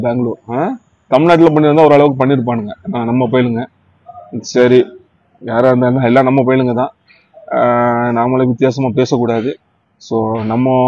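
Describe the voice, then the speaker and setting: A man's voice: medium pitch, dark tone, dry recording. Casual Tamil Nadu man, dull dry recording. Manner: conversational Tamil mixed with English words. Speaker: motorcycle vlogger reviewing gear. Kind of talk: casual product review chat.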